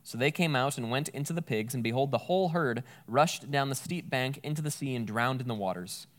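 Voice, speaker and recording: A man preaches in a relaxed, conversational way. His voice sits at an ordinary middle pitch. He talks plainly while explaining scripture. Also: fairly quick. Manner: conversational, explains Greek words with a folksy aside. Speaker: pastor. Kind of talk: sermon with scripture reading.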